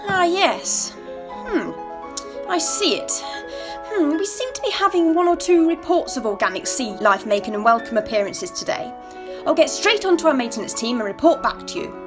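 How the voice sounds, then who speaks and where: Woman playing a high-voiced, polite receptionist. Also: very animated, moderate pace, over music. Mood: polite, official. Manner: polite, formal, smoothing. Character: brisk, politely professional hotel receptionist. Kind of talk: amateur audio drama acting.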